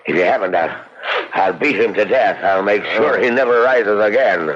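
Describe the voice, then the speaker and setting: Forceful Irish bully. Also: male voice, on the low side, moderate pace. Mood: menacing. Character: brutal jealous Irish bully, threatening and hot-tempered. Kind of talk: radio drama acting. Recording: faintly noisy dull old radio recording.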